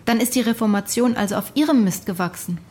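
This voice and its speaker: Woman playing a husky, sceptical questioner. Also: fairly high pitch, quick pace, darkish tone, lightly acted. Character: sceptical, probing female interviewer. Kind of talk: radio drama acting.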